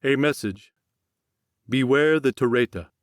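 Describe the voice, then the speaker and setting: A man's voice: medium pitch, unhurried, no room sound, pitched above the performer's own voice, natural voice. An anxious, defensive leader under pressure, played by a male audiobook narrator. He explains himself hesitantly in a slightly raised voice, recorded very dry. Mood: strained.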